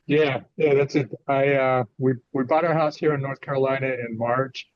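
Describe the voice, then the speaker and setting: An American man in his sixties speaking conversationally as an interview guest, often pausing mid-thought. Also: medium pitch, fairly even intonation, no echo. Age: 60s. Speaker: guest author. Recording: slightly dull, lo-fi audio.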